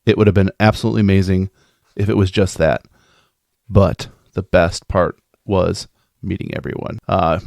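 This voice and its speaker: A man's voice: lowish voice, darkish tone, dry recording, studio quality. A man speaking informally as a podcaster, playful and effusive, giving an enthusiastic, geeky monologue. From American Midwest. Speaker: podcast host sending in a festival recap.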